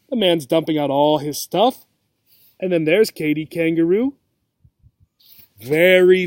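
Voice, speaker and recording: A man reading a children's storybook aloud as a teacher would, with simple, deliberate phrasing and asides to young listeners. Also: on the high side, darkish tone, dry recording.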